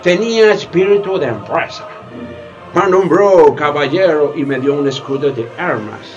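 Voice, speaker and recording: A man's voice: on the high side, expressive, normal speed, highs cut off, natural voice. A man playing a boastful, greedy sea captain and slave trader in a radio play, theatrical and stammering. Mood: self-satisfied. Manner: theatrical, stammering, peppers speech with English words. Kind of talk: community radio theatre acting. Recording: music bed behind the voice.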